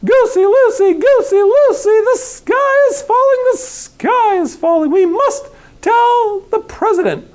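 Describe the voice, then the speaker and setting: A man's voice: very high voice, animated, measured pace, pitched above the performer's own voice. A man voicing a dim, panicky storybook chicken, squawking alarmed cries over and over. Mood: frantic alarm. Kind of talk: storybook read-aloud character voice.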